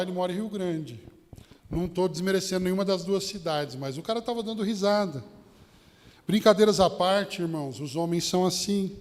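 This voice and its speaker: A man with a São Paulo Brazilian accent preaching a sermon as a Baptist pastor, addressing his listeners directly with rhetorical questions and anecdotes. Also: high-pitched. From São Paulo state, Brazil. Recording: long hall reverb.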